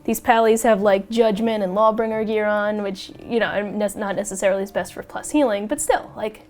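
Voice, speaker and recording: A woman hosting a casual podcast chat, a little raspy as she gets over a cold, chatty and excitable and quick to laugh. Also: medium pitch. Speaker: podcast host.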